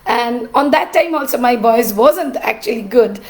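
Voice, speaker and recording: A middle-aged woman, an artist and teacher telling her story in a cracked, rough voice, pausing often with "uh". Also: a little high, expressive, darkish tone. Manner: halting, with many "uh" pauses. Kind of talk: personal storytelling.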